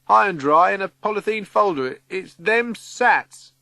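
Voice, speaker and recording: An older working husband acted by a reader, a man in a storybook, calling out colloquially. The voice is raised, bright and forceful. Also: high voice, animated, a little slow, vocal fry, no echo, voice pushed up, heavily acted. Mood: excited, relieved.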